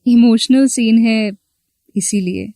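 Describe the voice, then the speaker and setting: Casting: actress for manipulative, flirty married woman teacher, possessive, higher-pitched voice, scripted story dialogue, dry studio sound. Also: takes it slowly, warm tone, dry recording. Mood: lonely, intimate.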